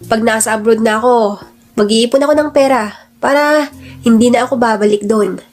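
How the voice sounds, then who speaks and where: A woman's voice: fairly high pitch, moderate pace, a little mellow, soft background music, natural voice. A woman with a Filipino accent voicing a resolute, burdened husband and father in a radio-style drama. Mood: resigned, determined.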